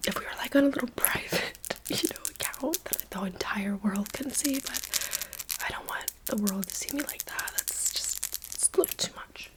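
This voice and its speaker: A woman's voice: mid-range voice, normal speed, low-quality recording. A young woman whispering a chatty, dramatic book review in an ASMR style.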